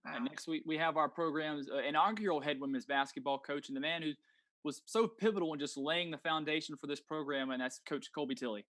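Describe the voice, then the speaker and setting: Slightly high-voiced male host. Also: fairly quick, fairly bright tone, dry recording. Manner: filler-heavy, repeats words. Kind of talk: introducing panel guests.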